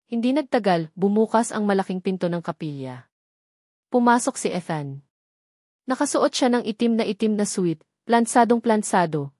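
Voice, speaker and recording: A woman's voice: medium pitch, dark tone, no echo, clean studio sound. A woman reading a scripted first-person dramatic story as narrator.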